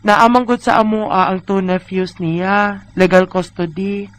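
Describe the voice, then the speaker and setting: A woman's voice: medium pitch, fairly even intonation, a little slow, close to an everyday voice. A woman in her thirties with a Bisaya Filipino accent, playing a weary, discontented wife in a plaintive, sighing way for a radio drama. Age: 30s. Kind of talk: Bisaya radio drama acting and letter narration.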